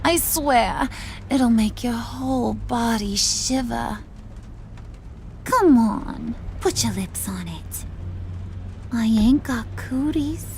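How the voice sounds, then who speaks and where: A woman's voice: fairly high pitch, lively intonation, slow pace, long pauses, a little mellow, slight background noise. An actress voicing a flirty, menacing, unhinged female villain in a video game, with a teasing, slangy delivery. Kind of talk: animated video game acting.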